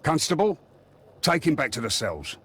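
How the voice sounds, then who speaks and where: An actor playing a stern, authoritative Victorian police officer, blunt and commanding in a game cutscene. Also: male voice, fairly high pitch, big pitch swings, normal speed, sharp tone, slightly rough, full character voice. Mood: stern, dismissive. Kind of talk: video game cutscene acting.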